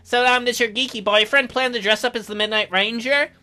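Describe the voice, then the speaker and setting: A man's voice: very high voice, steady tone, fairly quick, bright, forceful tone, faint music behind, voice pushed up, exaggerated voice. A man voicing an awkward, skeptical young male game protagonist who teases and stammers. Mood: teasing. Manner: hesitant stammering mixed with glib mockery. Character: awkward, skeptical, teasing teenage guy protagonist.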